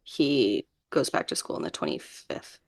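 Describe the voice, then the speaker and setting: A woman's voice: rumbling low, sing-song, unhurried, gravelly, dry recording, clean studio sound. A woman co-hosting a podcast in casual chat, chiming in with brief, informal remarks. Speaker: podcast co-host.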